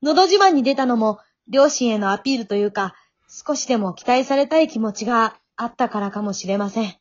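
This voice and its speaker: An earnest young aspiring singer acted by a woman in an amateur radio play. Her voice is high, and she exhorts and encourages emphatically. The sound is slightly muffled. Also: lively intonation, brisk. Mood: earnest, confiding. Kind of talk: amateur radio drama acting.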